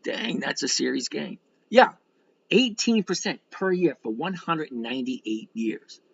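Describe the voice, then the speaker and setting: A man's voice: on the high side, lively intonation, measured pace, creaky, slightly rough, highs cut off. A man of sixty chatting casually as a livestream host, reading out and answering comments in a blunt, folksy, rambling way with some mild swearing. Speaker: livestream host and stock trader.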